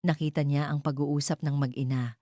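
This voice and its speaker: A woman with a low, mellow voice tells a romantic drama from a script. Her phrasing is measured and sentimental. The recording is very dry, with no room sound. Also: fairly even intonation, no echo. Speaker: story narrator.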